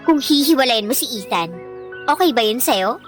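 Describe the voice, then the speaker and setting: A woman's voice: sits high, lively intonation, moderate pace, faint music behind. A woman playing a mean, haughty teenage schoolgirl bully in a radio drama, taunting and sneering theatrically with a Filipino accent. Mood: sneering, demanding.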